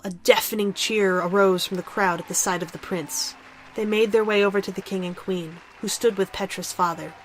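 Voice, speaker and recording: A woman reading scripted story narration as the narrator of an audio drama. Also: medium pitch.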